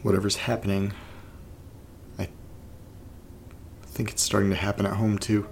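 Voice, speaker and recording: A male actor plays an uneasy, reluctant man in a horror audio drama. His voice sits fairly low, with a heavy gravelly fry. He speaks haltingly and naturally, as if muttering to himself, over a faint rumbling noise. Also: monotone. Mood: uneasy, subdued. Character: uneasy, reluctant man exploring a creepy place and reading strange letters. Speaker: main character and narrator of a horror audio drama. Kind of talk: naturalistic found-recording audio drama monologue, partly reading a letter aloud.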